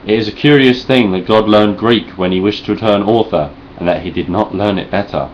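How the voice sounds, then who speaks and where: Deep-voiced sweary Cockney Essex man. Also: fairly quick, highs cut off, a little hiss or hum. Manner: deadpan, sarcastic, rambling, heavy swearing. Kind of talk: sweary deadpan vlog response.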